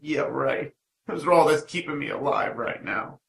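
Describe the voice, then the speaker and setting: A man's voice: fairly high pitch, moderate pace, gravelly, fairly bright tone, echoey room. An actor playing a battered, bitter, defiant man in a radio drama, sneering and strained.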